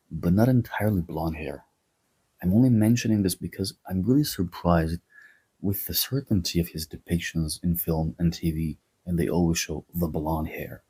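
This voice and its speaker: A man's voice: low-pitched, mellow, breathy edge. A man hosting a history video, giving a sarcastic, opinionated commentary with wry asides. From Greece.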